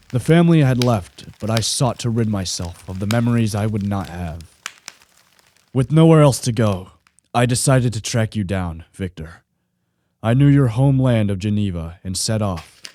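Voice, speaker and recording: A man's voice: lowish voice, dark tone, slight background noise, natural voice. A man acting a lonely, vengeful monster in a radio play, speaking in a grave, formal, aggrieved way. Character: lonely, vengeful male monster created by a scientist. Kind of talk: radio play narration and dialogue.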